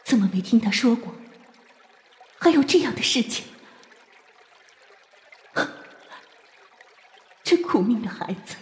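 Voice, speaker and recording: A woman playing an indulgent but authoritative middle-aged mother in a Chinese costume audio drama, measured and gently chiding. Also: a little high, breathy edge, exaggerated voice. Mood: pitying.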